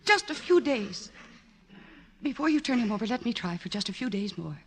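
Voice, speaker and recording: A woman's voice: fairly high pitch, very animated, moderate pace, fairly bright tone, husky, heavily acted. An actress playing an earnest woman psychiatrist in love, emotional and insistent, in 1940s radio drama style. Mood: pleading.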